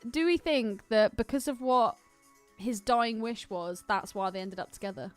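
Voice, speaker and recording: A British woman chats casually as a podcast co-host, her voice a little high. She is jokey and swears freely. Music plays faintly behind her. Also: normal speed, clear, resonant tone. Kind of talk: casual film podcast chat.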